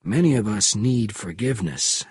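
Man playing a husky, wordy old ex-judge. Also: on the low side, lively intonation, normal speed, a little mellow, no room sound. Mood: wry, formal. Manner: wordy, formal. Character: old disgraced former American judge, wordy and wry.

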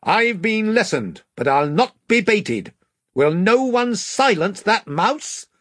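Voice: mid-range voice, big pitch swings, slow, a bit bright, dry recording, studio quality, voice pushed up, exaggerated voice. A reader voicing a proud young king who speaks in a regal, commanding way. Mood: indignant.

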